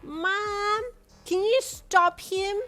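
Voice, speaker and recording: A sassy, proud little girl of about four. The voice is pitched well above an adult's, sweet and childlike, made for an acted audio story. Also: fairly even intonation, extremely slow, bright, forceful tone, soft background music, exaggerated voice. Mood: embarrassed wail.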